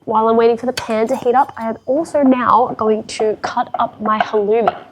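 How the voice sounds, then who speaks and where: A woman's voice: fairly high pitch, steady tone, a little fast, a little hiss or hum, low-quality recording. A young woman with a congested, sick-sounding voice, chatting frankly and upbeat through a cooking tutorial in her home kitchen. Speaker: fitness vlogger.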